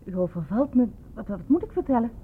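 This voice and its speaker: A woman's voice: on the high side, expressive, quick pace, a little hiss or hum. A woman playing an anxious, unhappy but defiant amateur sleuth in a radio play, hesitant and defensive. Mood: distressed, pleading. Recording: tinny, phone-like radio play recording.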